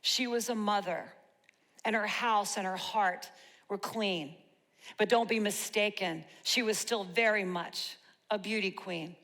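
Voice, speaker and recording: A woman in her fifties performing a rehearsed, rhyming spoken-word monologue with dramatic, deliberate pauses. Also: mid-range voice, fairly bright tone. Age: 50s. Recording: long hall reverb.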